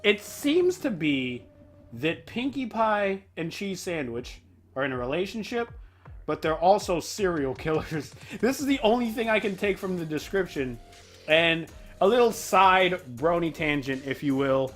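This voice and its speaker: A man giving casual reaction commentary in an animated, rambling, exclaiming way. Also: high-pitched, measured pace, soft background music. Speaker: reaction video host.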